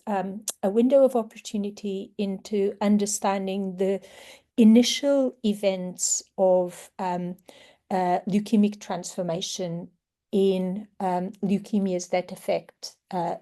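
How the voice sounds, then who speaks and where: A woman from Portugal talks through a laptop mic on a video call, in a mid-pitched voice. She speaks slowly and carefully, leaving small fillers between her thoughts. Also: warm tone. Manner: measured, with fillers. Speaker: researcher. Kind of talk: presentation talk.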